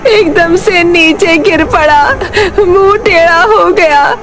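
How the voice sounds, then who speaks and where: A woman's voice: very high voice, slow pace, pitched above the performer's own voice. A woman voicing a distraught, brusque rustic village mother in a melodramatic cartoon story, with a wailing delivery and country phrasing. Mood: tearful, distraught. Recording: prominent background music. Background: music.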